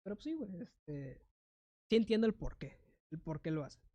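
A young adult with a Mexican accent talks in a loose, slangy way, full of fillers and restarts. The pitch is medium and the recording is dry and close. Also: big pitch swings, normal speed, warm tone, no echo, highs cut off. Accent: Mexican Spanish. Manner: slangy and rambling, filler words, frequent restarts. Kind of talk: casual chat.

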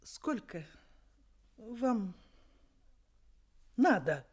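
Актриса в радиоспектакле играет восторженную, уступчивую мать невесты средних лет, говорит восклицаниями и короткими фразами. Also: female voice, on the high side, big pitch swings, extremely slow, a little mellow, full character voice. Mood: flustered, delighted. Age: middle-aged. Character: excitable, indulgent middle-aged mother of the bride. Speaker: radio drama actor. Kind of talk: 1980s Soviet radio play acting.

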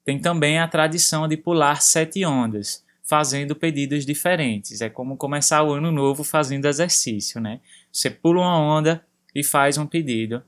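A man with a Brazilian accent presenting a segment on a language-learning podcast, explaining terms slowly for learners. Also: fairly high pitch, a bit bright. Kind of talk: podcast lesson narration.

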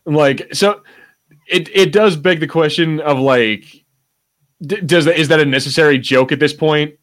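A man's voice: on the high side, unhurried, fairly bright tone. A man co-hosting a podcast, chatting casually about pop culture in a rambling way, swearing freely. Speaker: podcast co-host.

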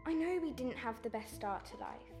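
Audio drama, hurt young sister, played by a woman: high, lively pitch, earnest and reproachful. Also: normal speed, music in the background. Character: young working-class sister, hurt, reproachful, pleading with her brother.

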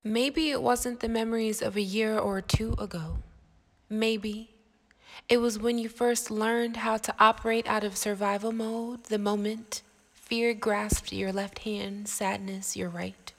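A woman narrating a poetic audio drama as a scripted piece, with dramatic, deliberate phrasing and pauses. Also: fairly high pitch, steady tone. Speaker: poet and narrator.

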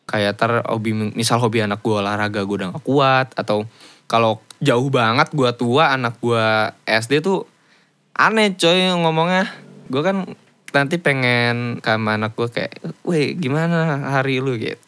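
A man's voice: mid-range voice, normal speed, fairly bright tone, smooth. A young man, hosting a casual podcast chat, slangy and swearing freely as he asks questions. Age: young adult.

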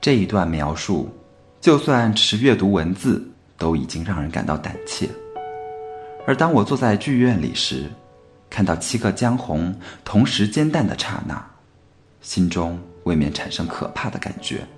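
A man narrates a scripted literary reflection as a podcast presenter. His voice is fairly low, with lively rises and falls. He sounds earnest and contemplative, with faint music behind him. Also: moderate pace.

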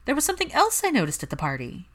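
A woman's voice: high-pitched, wildly swooping pitch, rapid-fire, fairly bright tone, pitched above the performer's own voice, exaggerated voice. A woman voicing a bold, mischievous young witch with a sly, blunt way of talking in a story reading. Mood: teasing.

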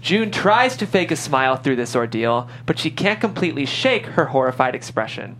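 A woman's voice: rumbling low, sing-song, normal speed, fairly bright tone, a little hiss or hum. A woman hosting a show, reading script directions and interviewing writers. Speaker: show host and script narrator.

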